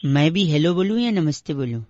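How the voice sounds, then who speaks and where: A man's voice: fairly high pitch, lively intonation, a little fast, noisy background, close to an everyday voice. A man voicing a pestering, long-winded radio prank caller who argues nonsense with mock earnestness in a comic phone call. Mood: needling.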